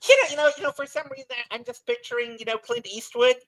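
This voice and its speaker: Hoarse, lively woman guest. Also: sits high, low-quality recording. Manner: animated, laughing, halting.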